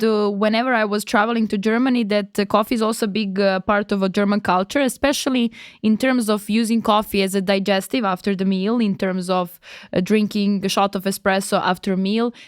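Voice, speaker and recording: A woman's voice: mid-range voice, moderate pace, clean tone, no room sound, clean studio sound. A woman from Serbia, hosting a podcast interview and asking long, hesitant questions full of restarts. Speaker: podcast host.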